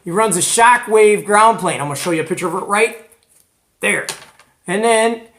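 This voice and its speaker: An American man hosting a hobby video in a casual, animated, colloquial way, retelling conversations as he goes. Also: high voice, normal speed. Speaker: host of a CB radio show. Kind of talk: casual hobby vlog presenting.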